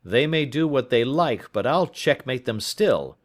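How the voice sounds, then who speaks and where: A man voicing a fierce, hard-drinking, reclusive old colonel in an audiobook, exclamatory and quick-tempered. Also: mid-range voice, sing-song. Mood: defiant. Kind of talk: audiobook character voice.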